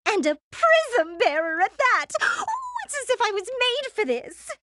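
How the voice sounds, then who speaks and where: A woman voicing a vain, gushing gem queen villain in an animated game, theatrical and condescending. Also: extremely high pitch, measured pace, no room sound, studio quality, full character voice. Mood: gloating, gushing.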